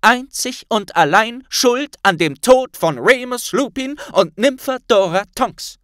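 A man's voice: very high-pitched, lively intonation, takes it slowly, fairly bright tone, no echo, studio quality, pitched above the performer's own voice, exaggerated voice. A man voicing a stern, accusing wizard grandmaster, pompous and declamatory, in a storybook reading.

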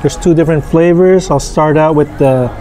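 A man in his thirties reviewing restaurant food in a casual, halting way, full of fillers, on an outdoor patio with a camera on the table. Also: on the high side, a crowd in the background. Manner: slow, relaxed, halting with many fillers. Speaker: food vlogger. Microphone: camera on table across from him.

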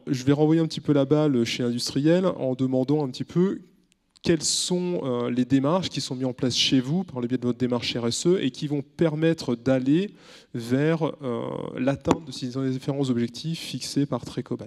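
A man's voice: medium pitch, normal speed, a little mellow. A middle-aged man on a convention panel speaking into a handheld mic, explaining his company's approach methodically, with frequent hesitations. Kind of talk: panel discussion presentation. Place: convention stage, large hall. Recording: long hall reverb.

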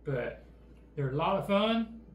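Gravelly-voiced man, easygoing drawl. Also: a little high, animated, a little slow. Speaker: co-host. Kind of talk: casual channel chat. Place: indoor room with game table and backdrop. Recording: echoey room, faint background noise.

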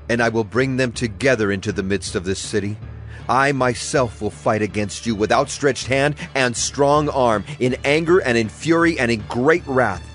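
An actor playing a stern prophet pronouncing divine judgment, solemn and declamatory, in a dramatized scripture reading. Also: male voice, medium pitch, natural voice. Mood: wrathful. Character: stern male Hebrew prophet pronouncing God's wrathful judgment.

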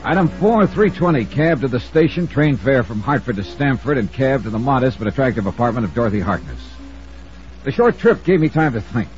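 An American actor playing a brisk, wry freelance insurance investigator in a clipped, businesslike 1950s radio style. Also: male voice, medium pitch, sing-song, faint music behind, close to an everyday voice. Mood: brisk, punchy. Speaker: star of a radio detective serial. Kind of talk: 1950s radio acting, first-person narration. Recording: dull old radio recording.

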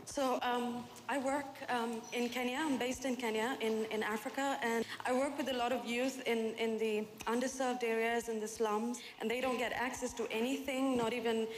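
A woman's voice: fairly high pitch, steady tone, moderate pace, hardly any pauses. A middle-aged woman speaking hesitantly as a panelist on an auditorium stage, repeating words. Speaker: panelist, youth worker. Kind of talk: live panel talk. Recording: echoey hall, faint noise.

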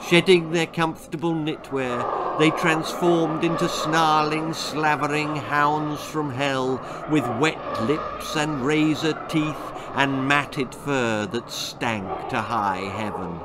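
An older man reading a children's horror story aloud in a deliberate, theatrical way. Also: on the high side, fairly even intonation, very noisy. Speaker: author reading his own children's story. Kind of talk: dramatic storytelling, scripted narration. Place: small book-lined room indoors.

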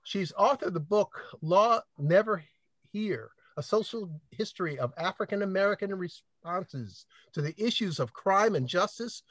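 High-voiced older man introducing a speaker. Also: lively intonation, measured pace, fairly bright tone, dry recording, poor audio. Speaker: event host introducing the speaker. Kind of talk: reading an introduction. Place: home room with bookshelves. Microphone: video call webcam.

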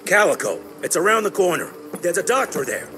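An actor playing a steady, practical, plain-spoken western sidekick in a video game cutscene. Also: male voice, high voice, fairly quick, music in the background. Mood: urgent resolve.

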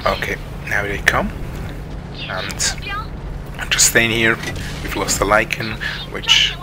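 A man's voice: lowish voice, flat delivery, slow, over music. A man with a Swiss accent giving casual gameplay commentary, hesitant and full of ums and restarts. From Switzerland. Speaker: gaming channel host. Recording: game sounds over the voice. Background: tank game sounds.

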